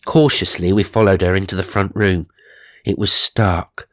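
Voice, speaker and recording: A man's voice: low voice, fairly even intonation, moderate pace, dry recording, clean studio sound. A man narrating supernatural magazine stories for comedy, mock-serious and dramatic, with wry asides. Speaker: narrator and host.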